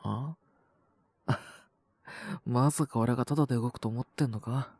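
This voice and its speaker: A man acting a slow, husky, cocky sick wolf-boy. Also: mid-range voice, darkish tone, no echo, studio quality. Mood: teasing, cocky. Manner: blunt, rough casual speech, teasing, languid. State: sick with a cold and cough. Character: sick young male wolf-boy, cocky possessive teasing boyfriend. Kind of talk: Japanese situation-voice roleplay aimed at the listener.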